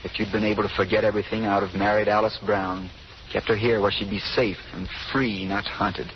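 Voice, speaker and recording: An actor playing a calm, devoted gentleman sweetheart, earnest and measured in old-time radio drama style. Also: lowish voice, lightly acted. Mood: earnest, urging. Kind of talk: 1930s radio drama acting. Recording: muffled, noisy old radio recording.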